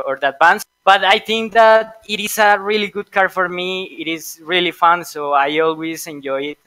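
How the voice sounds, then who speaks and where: Colombian man in a halting post-race interview. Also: fairly high pitch, pushed and bright, smooth, no room sound, low-quality recording. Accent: Colombian Spanish. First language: Spanish. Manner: hesitant, halting with many fillers. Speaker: interviewed sim racer.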